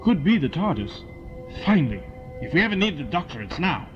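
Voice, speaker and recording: A man's voice: a little high, big pitch swings, fairly quick. A man playing a stern, suspicious army colonel in an audio drama, clipped and commanding. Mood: brisk, commanding. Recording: dull lo-fi with loud music behind.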